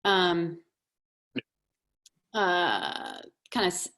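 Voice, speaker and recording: Southern woman host, lower register, hesitant and searching, soft warm-toned delivery. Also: big pitch swings, gravelly. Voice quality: soft, honeyed voice. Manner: halting, many fillers and restarts. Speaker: webinar host. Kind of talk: casual conversation.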